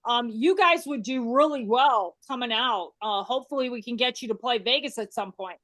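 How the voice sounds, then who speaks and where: A woman's voice: on the high side, normal speed, a bit bright, dry recording. A woman hosting a casual chat with a band, talking loosely with lots of fillers and stories. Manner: chatty, storytelling, many fillers. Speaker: podcast host. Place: works in Las Vegas.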